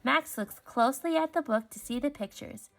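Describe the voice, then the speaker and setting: A woman narrates a children's storybook in a fairly high voice. Her reading is expressive and clearly phrased for young listeners, with music playing behind her. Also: normal speed. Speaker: storybook reader.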